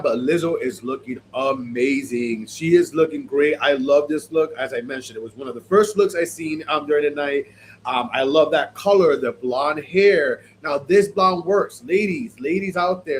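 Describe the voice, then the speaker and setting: A man's voice: a little high, slight background noise, rough recording. A man hosting a podcast, chatting casually about fashion looks, sounding stuffy and sniffly from allergies. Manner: chatty, animated, many fillers. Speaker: podcast host. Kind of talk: casual fashion review chat.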